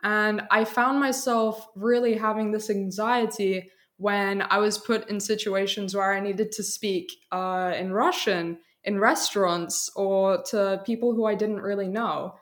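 A woman's voice: mid-range voice, steady tone, a little slow, hardly any pauses, a bit bright. Young woman, candid, with mid-range voice. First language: English. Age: young adult. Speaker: interview guest, student. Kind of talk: personal conversational storytelling.

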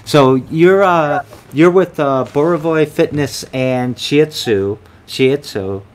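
A man's voice: on the high side, takes it slowly, a little hiss or hum. A man hosting a casual interview show, friendly and rambling, with many fillers. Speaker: podcast host.

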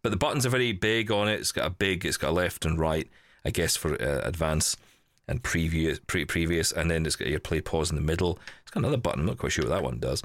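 A man's voice: a bass voice, quick pace, fairly bright tone, dry recording. A man hosting a podcast in casual, chatty style, thinking aloud with wry asides. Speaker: podcast host. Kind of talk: casual chat, live unboxing of a gadget.

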